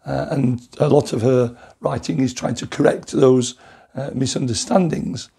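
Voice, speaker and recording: A man with a hoarse, croaky voice from a cough, speaking as a Methodist preacher in a rambling, thoughtful recorded sermon with many pauses and restarts. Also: mid-range voice, steady tone, warm tone.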